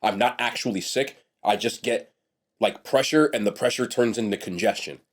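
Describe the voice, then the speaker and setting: Low-voiced stuffy-nosed young man. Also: normal speed. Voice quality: nasal from a blocked nose. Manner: blunt and chatty, trails off and restarts. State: congested and sniffly. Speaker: food vlogger. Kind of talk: casual mukbang food review.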